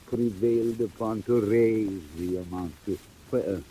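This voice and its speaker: An actor playing a sly, oily old British crook with a hesitant, mock-courteous manner, in a 1940s audio drama. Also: male voice, on the low side, fairly even intonation, measured pace, dark tone, close to an everyday voice. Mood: ingratiating. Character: sly, wheedling old male crook running a boy gang. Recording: noisy vintage 1940s record.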